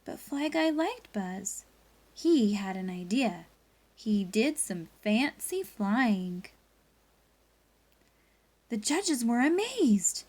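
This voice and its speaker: A woman's voice: a little high, very animated, drawn-out words, long pauses, a little mellow. A woman teacher leading a read-aloud lesson for pupils, reading expressively and guiding them through a story. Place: indoors at a laptop.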